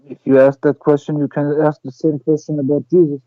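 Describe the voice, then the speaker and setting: A man with a fairly high voice argues over a call, halting and hesitant. The line sounds dry and dull, with no room around it. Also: little pitch movement, dry recording. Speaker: caller. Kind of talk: phoned-in debate.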